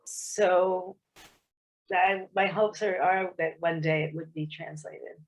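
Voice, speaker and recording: Thoughtful woman author, lowish voice. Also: fairly even intonation, slow pace, poor audio. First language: English. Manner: thoughtful, hesitant, many restarts. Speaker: author guest at a book club. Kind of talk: casual explanatory talk on a video meeting.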